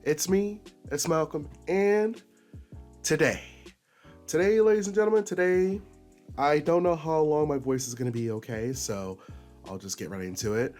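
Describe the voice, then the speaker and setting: A man hosting his own commentary video, his voice raspy and a little nasal from a recent illness, talking emphatically in an unscripted rant and jumping up in pitch for emphasis. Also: moderate pace, darkish tone, soft background music. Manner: emphatic, with sudden high-pitched bursts. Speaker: commentary YouTuber host.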